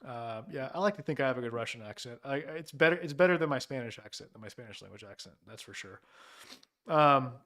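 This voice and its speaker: A man hosting a casual live Q&A as an immigration lawyer, talking loosely with many fillers and restarts. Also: medium pitch. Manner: loose and conversational, many fillers and restarts, reads out viewer questions.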